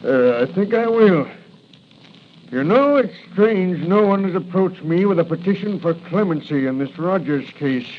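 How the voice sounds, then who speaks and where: An actor playing a weary, flustered state governor, formal and stuffy, in 1940s radio drama style. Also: male voice, sits high, lively intonation, unhurried. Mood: tired, formal. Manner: formal, protesting. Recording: dull, noisy 1940 radio recording.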